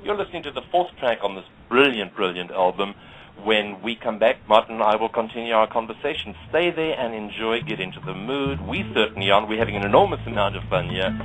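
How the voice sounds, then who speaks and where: A man with a South African accent hosts an online radio talk show. His voice sits a little low, and he talks in an effusive, promotional way. He repeats his praise for emphasis. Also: fairly quick, a bit bright, slight background noise, rough recording. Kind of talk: enthusiastic radio chat.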